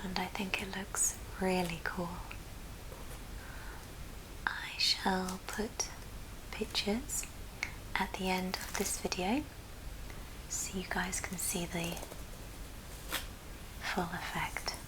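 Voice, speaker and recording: A woman's voice: on the low side, flat delivery, takes it slowly, pauses often, low-quality recording. A woman whispering throughout as she gives an ASMR craft tutorial. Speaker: ASMR craft video host. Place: at a friend's house, indoors. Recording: noticeable background noise and clicks.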